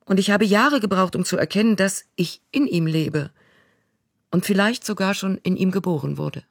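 A voice-over reader performing prose excerpts in a quiet, reflective, unhurried way. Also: mid-range voice, gravelly, dry recording, clean studio sound. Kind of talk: literary reading of prose excerpts.

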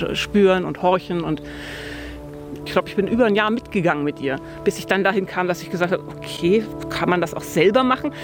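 Thoughtful woman in her fifties outdoors. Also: fairly low pitch. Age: 50s. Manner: thoughtful, lots of fillers like tatsächlich and also. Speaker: hunter interviewed about her hobby. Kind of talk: relaxed conversational storytelling. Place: outdoors by a field.

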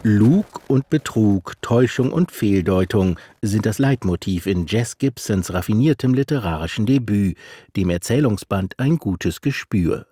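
A man narrating a radio feature, measured and literary, and interviewing an author. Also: on the low side, animated, mellow, husky, no room sound. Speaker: radio reporter. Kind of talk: scripted narration.

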